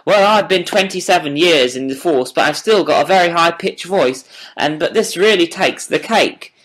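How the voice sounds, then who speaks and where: A man's voice: on the high side, moderate pace, a bit bright, voice pushed up, poor audio. A man voicing a hearty police inspector in an audiobook, emphatic and jovial, easily amazed. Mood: amused. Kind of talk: audiobook character voice.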